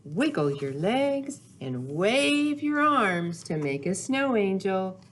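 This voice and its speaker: Casting: woman, children's storytime reader, highly animated pitch, unhurried sing-song delivery, slight background noise. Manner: sing-song, simple phrasing aimed at young children. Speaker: children's library storytime host. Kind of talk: children's storytime with read-aloud, songs and questions.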